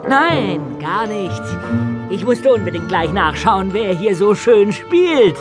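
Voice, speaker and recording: Slow, swooping eccentric old professor. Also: male voice, high voice, sing-song, over music, heavily acted. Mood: amused, friendly. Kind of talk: children's radio play dialogue.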